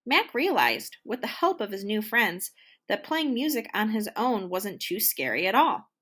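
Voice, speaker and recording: Expressive, lilting woman reading a children's story. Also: medium pitch, slightly rough. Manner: expressive, deliberate. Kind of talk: scripted children's storybook narration.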